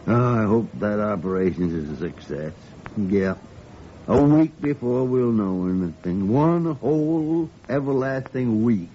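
An actor playing a kindly, plain-spoken senior doctor in 1940s radio drama style. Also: male voice, lowish voice, lively intonation, unhurried, warm tone. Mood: concerned. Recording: dull, noisy old radio recording.

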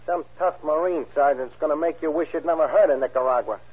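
A tinny vintage radio recording carries a skeptical male sidekick. His voice sits fairly high and is rather husky. He needles in slangy 1930s radio drama fashion. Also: quick pace. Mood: skeptical, warning.

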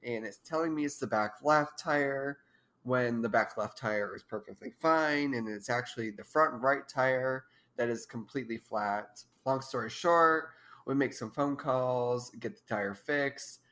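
A woman's voice: a bass voice, measured pace, a bit bright. A young woman in her twenties, a podcast co-host, talking casually and giggling often while stuffed up with allergies. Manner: giggly, rambling. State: congested. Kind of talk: casual chat.

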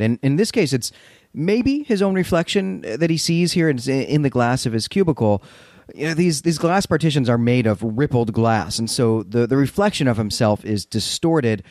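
A man's voice: mid-range voice, a little mellow, dry recording. A man co-hosts a book podcast with a mid-range voice. He retells and discusses the story in an articulate, explanatory way. The sound is very dry, with hardly any room in it. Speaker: podcast co-host. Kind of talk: literary recap discussion, semi-prepared retelling.

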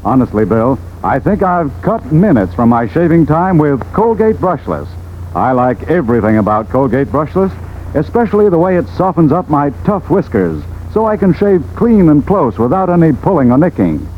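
A man's voice: fairly high pitch, sing-song, moderate pace. A man playing a satisfied ballplayer reading out a testimonial letter in a 1940s radio ad, emphatic and plainspoken. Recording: tinny, noisy old radio recording.

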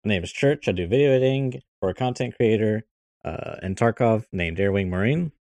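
A man co-hosting a gaming podcast, talking in a relaxed, chatty way. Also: on the low side, brisk, dry recording. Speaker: podcast co-host, video editor. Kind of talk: casual chat.

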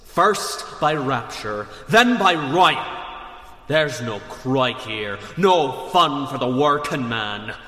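A man's voice: mid-range voice, expressive, takes it slowly, bright, forceful tone. A man playing a rousing, theatrical Irish working-class rebel orator in a radio drama. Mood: wry. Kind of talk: radio drama political speech. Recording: echoey hall with long reverb.

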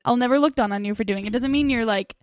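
A woman's voice: fairly high pitch, fast talker, dry recording, studio quality. A woman in her twenties hosting a podcast, chatting casually in a bubbly, giggly, rambling way. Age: 20s. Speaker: podcast host. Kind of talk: casual chat.

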